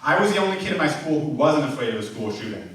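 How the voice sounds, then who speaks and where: A man's voice: a little high, sing-song, rapid-fire. A man in his twenties doing a stand-up comedy set, self-deprecating and awkward, with a speech impediment and lots of "like.". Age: 20s. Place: comedy club stage. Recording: echoey room, far from the mic, loud crowd noise. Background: audience laughter.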